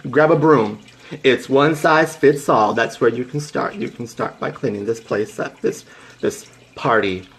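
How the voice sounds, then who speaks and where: Playful, chatty young man telling a story. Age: 20s. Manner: chatty and playful, breaks off to greet viewers by name; rambling with restarts, jumps to high excited pitch. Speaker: livestream host. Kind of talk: casual motivational storytelling to live viewers.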